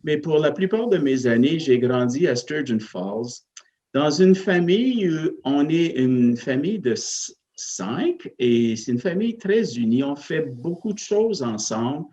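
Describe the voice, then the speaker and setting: A man, a parish priest, speaking slowly and thoughtfully as he recalls his family and faith. He hesitates often and restarts his sentences, with a voice at an ordinary pitch. The sound is a little dull, like an older TV broadcast. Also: big pitch swings, warm tone. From Northern Ontario, Canada. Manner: hesitant, many fillers and restarts, reflective. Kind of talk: interview, personal storytelling.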